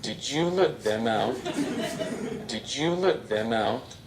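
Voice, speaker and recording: A man voicing a deadpan male robot stand-up comedian with a flat, machine-like delivery. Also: medium pitch, slow, a crowd in the background.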